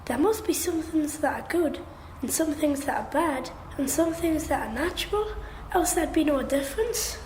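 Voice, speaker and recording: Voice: high voice, lively intonation, normal speed. A performer playing an earnest young boy with a Geordie accent who believes he is an angel, pleading and questioning in a radio drama. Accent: Geordie, north-east England. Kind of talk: naturalistic radio drama acting. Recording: roomy sound with faint background noise.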